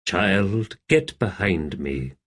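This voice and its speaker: A man's voice: low voice, very animated, extremely slow, a bit bright, exaggerated voice. A man voicing a cold ancient warrior lord who speaks tersely.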